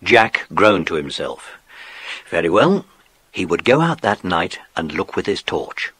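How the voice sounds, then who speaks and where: A man's voice: lowish voice, fairly bright tone, slightly rough, no room sound. A man narrating a children's story from a script, as in an audiobook drama.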